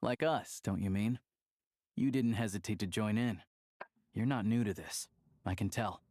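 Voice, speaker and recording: A man's voice: on the low side, normal speed, a little mellow, no room sound, studio quality. An actor playing an easygoing, worldly young man in a video game, talking in a conversational, confiding way. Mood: friendly, knowing. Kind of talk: video game dialogue acting.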